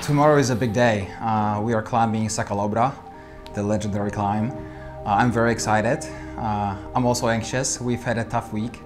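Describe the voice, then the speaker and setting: Measured Polish-accented man over music. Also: lowish voice, fairly even intonation. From Poland. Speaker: amateur cyclist being interviewed. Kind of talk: reflective to-camera interview. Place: indoor studio with dark backdrop.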